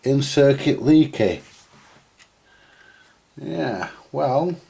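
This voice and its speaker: A man with a husky voice, giving informal, unscripted hands-on commentary as he mutters through a repair, now and then mildly sweary. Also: medium pitch, animated, drawn-out words, dark tone. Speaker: host of a vintage radio repair video.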